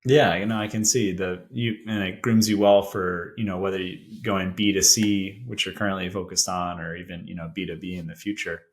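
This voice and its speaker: A man's voice: low voice, steady tone, a little fast, few pauses. A man hosting a casual business interview, chatty and friendly, asking leading questions. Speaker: interview host, founding partner.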